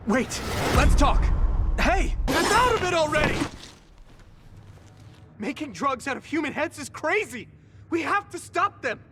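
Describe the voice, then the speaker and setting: Earnest, high-pitched young soldier hero. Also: male voice, big pitch swings, measured pace, long pauses, background noise, exaggerated voice. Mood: urgent, outraged. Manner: earnest, emotive. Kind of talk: anime-style video game voice acting.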